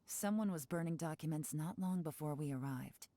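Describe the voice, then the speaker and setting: A woman voicing a cool, composed female intelligence agent in a video game, talking in a calm, measured, knowing way. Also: low voice, slight background noise, lightly acted. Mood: assured. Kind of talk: game dialogue. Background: game music.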